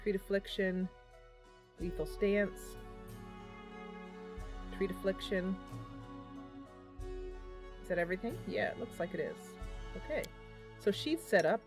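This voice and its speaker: A woman doing casual live game commentary as a streamer, chatty and a bit goofy, thinking out loud as she goes. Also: mid-range voice, unhurried, pauses often, faint music behind. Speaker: streamer and host of a gaming channel.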